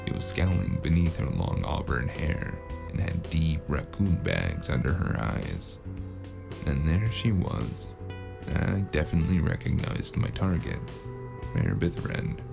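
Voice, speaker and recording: A man narrating a noir audio drama as the lead, dry and wry, with a deadpan manner, over a music score. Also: a bass voice, gravelly. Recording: music score playing underneath.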